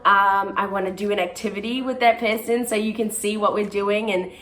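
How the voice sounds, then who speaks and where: A young woman, a touring musical theatre performer talking earnestly to camera in a casual vlog, often pausing on "um". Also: mid-range voice, fairly even intonation, fairly bright tone. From born in South Africa, raised in New Zealand. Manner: earnest and chatty, with frequent ums.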